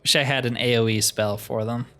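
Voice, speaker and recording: A man's voice: mid-range voice, steady tone, fairly quick, a bit bright, smooth. A man giving casual, wry commentary as he plays through a video game, often with dry humor. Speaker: gaming video host.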